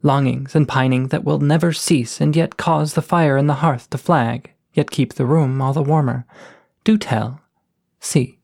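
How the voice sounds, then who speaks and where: A man voicing a playful, flirtatious poet coyote of low station, reading florid love letters in a lyrical, teasing way. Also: fairly even intonation, measured pace, creaky, darkish tone. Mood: lyrical longing.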